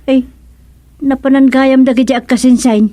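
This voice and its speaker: A woman with an Ilocano accent playing an expressive country woman in a radio drama. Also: high voice, moderate pace, no room sound, lightly acted. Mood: exclaiming.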